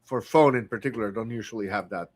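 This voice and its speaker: A man, an amateur radio operator, explaining contest software in a casual chat and often stumbling over his first words. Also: fairly low pitch, fast talker, dry recording.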